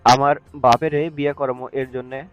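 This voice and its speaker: A man's voice: medium pitch, flat delivery, normal speed, lightly acted. A man as an insistent father, slightly muffled. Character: insistent, irritable father pushing his son to marry. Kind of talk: audio story acting. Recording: dull muffled audio with music.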